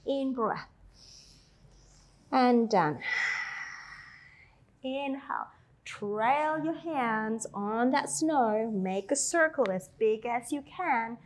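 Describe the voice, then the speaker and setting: A woman in her thirties with an Australian-tinged accent, guiding a Pilates class with slow, soothing cues and chatty asides. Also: fairly high pitch, lively intonation. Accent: Australian-tinged English. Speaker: Pilates instructor. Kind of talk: guided exercise instruction.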